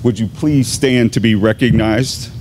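A man in his fifties, an assistant high school principal, giving a formal, deliberate graduation address at a podium microphone in a large gymnasium. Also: fairly even intonation, darkish tone, a little hiss or hum. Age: 50s. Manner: measured, deliberate announcing with pauses.

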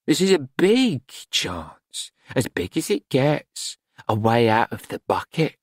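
A man voicing a sharp-tongued, flamboyant fashion man in an audiobook, cynical, sardonic and exclamatory. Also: medium pitch, big pitch swings, slow, slightly rough, dry recording, pitched above the performer's own voice, exaggerated voice. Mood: exasperated, urging.

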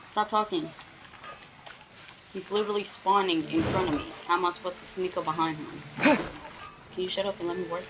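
A woman's voice: lowish voice, fairly even intonation, a little fast, long pauses, noisy background. A woman doing casual gameplay commentary, grumbling sassily back at the game. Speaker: gaming streamer. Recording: loud game music behind the voice. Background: video game music.

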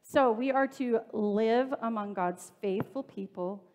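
A woman pastor in her thirties giving a relaxed, conversational sermon in a church sanctuary, with everyday fillers and friendly asides to the congregation. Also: on the high side, slow pace, highs cut off. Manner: conversational, plain-spoken preaching with fillers and asides.

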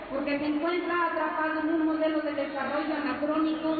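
A middle-aged Mexican woman reading a declamatory campaign speech on an outdoor stage as a political candidate. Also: high-pitched. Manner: declamatory, slow emphatic delivery. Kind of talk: campaign rally speech read from a paper. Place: outdoor stage at a large rally. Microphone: stand mic, recorded from far through PA. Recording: echoey PA sound from far off, tinny, crowd noise.

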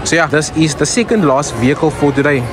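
A man's voice: fairly high pitch, fast. A young South African man whose first language is Afrikaans, casually walking viewers through used cars on an outdoor lot and talking to them directly. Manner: chatty, addresses viewers as guys. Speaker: car vlogger. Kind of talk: casual car walkaround. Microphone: phone camera. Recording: outdoor noise behind voice.

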